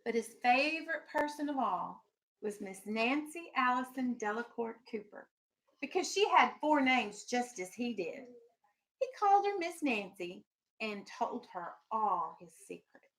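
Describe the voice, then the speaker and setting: A woman teaches an elementary class in a high, bright voice. She explains and reads aloud in an instructional way, putting questions to pupils and keeping them firmly on task. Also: very animated, unhurried, rough recording. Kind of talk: classroom reading lesson and read-aloud.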